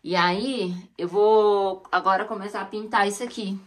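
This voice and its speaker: A woman's voice: medium pitch, steady tone, normal speed. Chatty woman touring her home. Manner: chatty, addresses viewers, pointing things out. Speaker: home vlogger. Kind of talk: casual home tour chat. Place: cold stone house basement, indoors. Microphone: handheld phone camera.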